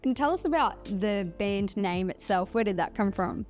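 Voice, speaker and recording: Woman, interviewer, friendly, chatty, medium pitch. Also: lively intonation, normal speed, darkish tone, faint music behind. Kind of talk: casual interview.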